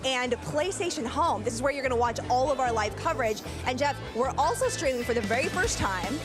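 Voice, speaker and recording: A woman hosting live outdoor event coverage, upbeat and energetic, with music playing behind. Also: sits high, fairly quick. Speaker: host.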